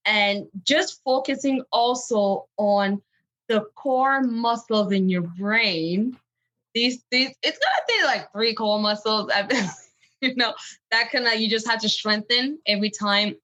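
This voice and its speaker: A woman's voice: a little high, a bit bright, rough recording. A woman from Benin, French-speaking by birth, with a West African French accent, speaking earnestly as a coaching guest on a podcast interview. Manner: earnest, encouraging, light laughs.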